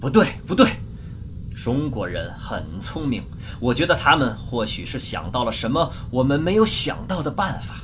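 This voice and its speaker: A man's voice: medium pitch, big pitch swings, normal speed, natural voice. A man playing an earnest, anxious, hopeful astronaut in a science-fiction radio drama. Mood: puzzled, wondering. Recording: clear ambient noise behind voice.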